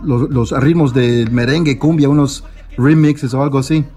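A man's voice: mid-range voice, steady tone, moderate pace, dark tone. A man hosting a radio interview, enthusiastic and hesitant, with many fillers and restarts. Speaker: radio host.

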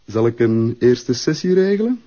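An actor with a Flemish accent playing a smooth, flattering and pushy photographer in a naturalistic TV drama. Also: male voice, medium pitch, moderate pace, dull audio. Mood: businesslike, coaxing. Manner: smooth-talking, persuasive.

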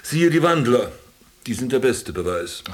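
Weary skeptic, medium-pitched voice, dry, understated, radio drama dialogue. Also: male voice, big pitch swings, unhurried. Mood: dry, skeptical. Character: weary, skeptical former businessman stranded with a companion. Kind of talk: German radio play acting.

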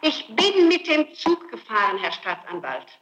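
A woman's voice: on the high side, wildly swooping pitch, moderate pace, a bit bright, roomy sound, muffled recording. A woman under interrogation, defensively insisting on her account.

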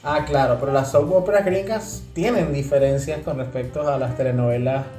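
A man chats as a podcast co-host, joking and wandering into explanations. His voice sits in an echoey room, with music clearly playing behind it. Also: medium pitch, brisk, dark tone. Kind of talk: casual humorous chat. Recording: roomy echo, music behind voice.

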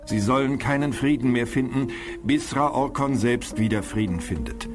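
A man's voice: medium pitch, moderate pace, close to an everyday voice. A man acting a solemn professor who proclaims an ancient curse in a portentous radio-play delivery. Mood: ominous, foreboding. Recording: audio drama with loud music behind.

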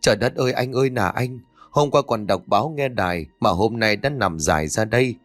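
A man's voice: lowish voice, brisk, dry recording, soft background music, lightly acted. A man voicing a young petty crook, a slangy sidekick who laments with comic, exaggerated grief. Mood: comic lamenting. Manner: lamenting in rhymed, exaggerated mock-mourning.

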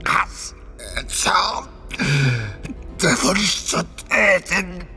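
An actor playing a tormented, murderous madman in a radio drama, halting in speech and torn between piety and blasphemy. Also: mid-range voice, soft background music, heavily acted. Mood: gloating hatred. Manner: slow, halting, swinging from sharp cries to whispers. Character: tormented murderous madman, pious and blasphemous, tearing himself apart.

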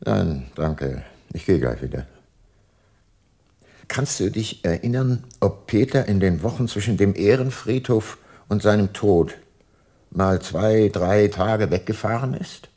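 A man's voice: fairly low pitch, unhurried, dark tone, dry recording. An actor playing a sombre, reflective older detective who narrates his own radio drama. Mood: sober, probing. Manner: reflective, sober. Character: brooding, sombre aging private detective narrator. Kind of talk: first-person radio drama narration and dialogue.

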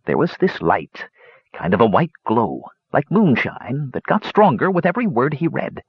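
A male narrator acting out a battle-weary old dwarf hermit for a fantasy story, coarse and grumpy. His rustic, ungrammatical talk is pitched up high and leaps about, with a husky edge on a dull recording. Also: big pitch swings, normal speed, no room sound, exaggerated voice. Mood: storytelling. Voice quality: coarse, growling. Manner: folksy, rustic, ungrammatical speech. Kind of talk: fantasy audiobook character voice.

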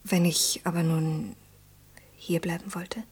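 A young woman with a lowish, extremely breathy voice that sinks toward a whisper. She sounds shy, lovelorn and quietly insistent, answering in hushed, brief phrases in radio drama style. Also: level tone, takes it slowly. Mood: hurt, questioning. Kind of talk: German radio play acting.

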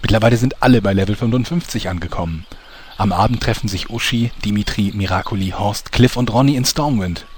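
A man plays the solemn storyteller of a fantasy parody radio play. His lowish voice delivers scripted mock-heroic narration over clearly audible noise. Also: brisk, close to an everyday voice.

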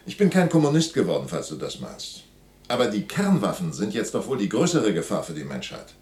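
An actor playing an animated, politically worried man in radio drama dialogue, recorded in a roomy space. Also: on the low side, a little fast. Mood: animated, insistent. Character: animated, politically worried former student acquaintance.

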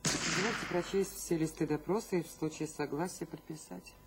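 An actress playing a brisk, formal revolutionary secretary reading out official statements in a radio drama. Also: female voice, sits low, fairly even intonation, very noisy, lightly acted. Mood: official, stern.

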